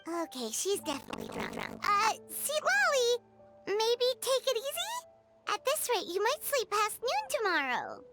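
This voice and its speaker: A woman's voice: very high voice, wildly swooping pitch, unhurried, full character voice. A woman voicing a tiny, childlike, chirpy and cheeky fairy companion in an anime-style video game. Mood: concerned. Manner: refers to self in third person, childlike. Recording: music clearly behind the voice.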